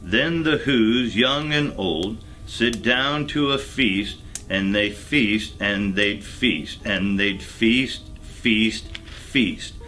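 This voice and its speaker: A man reads a rhyming storybook in a low, bright and forceful voice. His delivery is very unhurried and measured, with faint music underneath. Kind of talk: storybook narration, rhymed.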